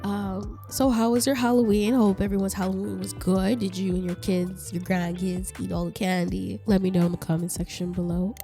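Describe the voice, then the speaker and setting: Middle-aged woman, raspy, hoarse from a cold, stuffy nose, mellow warm tone, rambling podcast host. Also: medium pitch, little pitch movement, moderate pace, few pauses, soft background music. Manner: chatty, rambling, laughs often. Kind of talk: casual chat.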